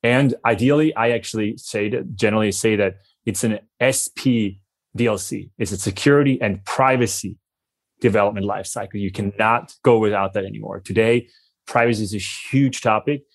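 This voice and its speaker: A man's voice: lowish voice, moderate pace. A man in his forties with a Swiss accent, speaking as an expert on a panel and stressing his points with a tag of "right?". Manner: emphatic, peppers points with "right?". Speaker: CIO and security expert. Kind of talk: panel discussion.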